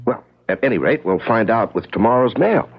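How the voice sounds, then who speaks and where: A man's voice: on the low side, animated, fairly quick. An actor playing an affable but evasive husband in a radio mystery, hesitant and placating as he talks. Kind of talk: old radio drama acting. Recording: muffled, noisy old radio broadcast.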